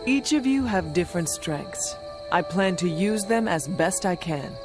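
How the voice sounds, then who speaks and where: A solemn young hero who leads a supernatural team in a video game. The delivery is measured and formal, resolute and grave, over a music bed. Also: female voice, fairly low pitch, expressive. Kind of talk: video game cutscene acting.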